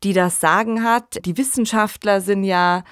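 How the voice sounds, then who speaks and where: A woman hosting a podcast, discussing analytically in a conversational, halting and reflective way. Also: medium pitch, steady tone, clear, resonant tone, no room sound, studio quality. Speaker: podcast host.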